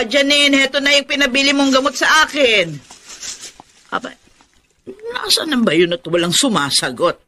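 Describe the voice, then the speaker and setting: Casting: nagging middle-aged aunt for radio drama, exclamatory, high bright voice. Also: female voice, heavily acted. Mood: weary, puzzled. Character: fussy, scolding middle-aged aunt, easily exasperated.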